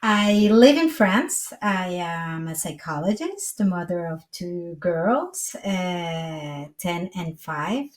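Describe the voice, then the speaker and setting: A woman's voice: fairly low pitch, lively intonation, takes it slowly, few pauses. A woman in her forties from Colombia, a native Spanish speaker with a Colombian accent, talking as an interview guest and searching for words. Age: 40s. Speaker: guest, psychologist. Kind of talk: casual interview talk. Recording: roomy echo, distant from microphone.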